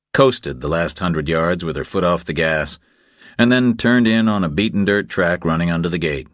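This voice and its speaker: A man narrates fiction from a script. His voice sits low, and the recording is dry, with no room sound around it. He reads in the third person as an audiobook narrator. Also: no room sound.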